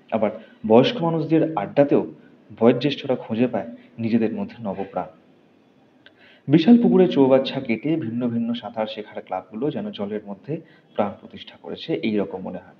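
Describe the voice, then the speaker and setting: Guy reading a detective story, dramatic narration, medium pitch, bit muffled w faint noise. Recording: dull recording with faint noise.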